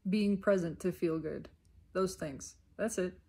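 A woman speaks in an unhurried, reflective monologue, as if thinking aloud. Her voice is husky with a lot of fry at the edges. She breaks her thoughts into short phrases and repeats them deliberately. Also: on the low side, warm tone. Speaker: self-reflective spiritual vlogger. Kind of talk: unscripted reflective spiritual monologue.

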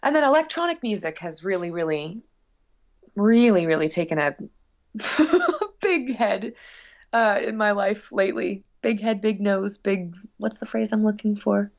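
A woman's voice: mid-range voice, lively intonation, a little slow, lo-fi sound. A woman guest chatting casually in an interview about her work as a musician, rambling expressively with frequent asides.

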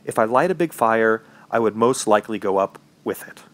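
An actor playing an injured, desperate man trapped in the woods, narrating a horror radio drama in a halting, dramatic way. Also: fairly low pitch, expressive, lightly acted. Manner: dramatic, halting, with anguished outbursts. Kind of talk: first-person horror radio drama monologue. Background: music.

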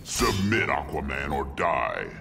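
An actor playing a tyrannical alien god in a video game, with a very deep gravelly voice and a slow, imperious, menacing manner. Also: male voice, big pitch swings, over loud music, heavily acted. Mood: commanding, threatening. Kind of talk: video game villain acting.

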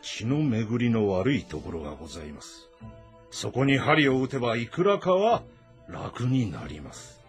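A male actor playing a blunt, calm physician of ancient China, speaking formally and deferentially in an audio drama. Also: medium pitch. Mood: calm, advising. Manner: measured, deferential, matter-of-fact. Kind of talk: audio drama dialogue in formal, old-fashioned speech. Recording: voice over background music.

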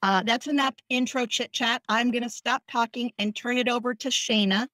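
A woman's voice: on the high side, fairly even intonation, moderate pace, a bit bright, no room sound. A woman hosting and opening an online nonprofit event in a chatty, informal way over a video call. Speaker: chapter co-host. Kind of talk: event welcome.

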